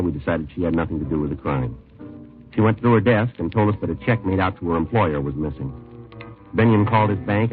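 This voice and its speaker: An actor playing a terse, businesslike police detective in a 1950s radio crime drama, speaking in a clipped, deadpan way. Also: male voice, sits low, close to an everyday voice. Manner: clipped, deadpan, matter-of-fact. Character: terse, businesslike male police sergeant detective narrating his case. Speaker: detective and narrator. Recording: tinny old radio recording, music behind.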